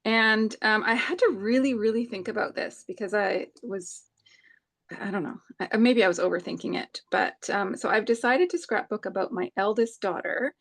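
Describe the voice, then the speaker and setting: A woman in her forties co-hosting a live scrapbooking stream, talking in a thoughtful, hesitant way with many pauses. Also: mid-range voice, a bit bright. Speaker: co-host of a live scrapbooking crop. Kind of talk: casual chat while crafting.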